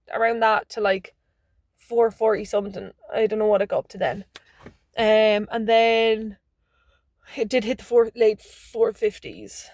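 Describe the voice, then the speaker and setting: A woman chatting casually to her camera in a rambling vlog, her voice a little hoarse and phlegmy. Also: on the high side, no room sound, clean studio sound. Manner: chatty, rambling, restarts mid-word. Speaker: vlogger.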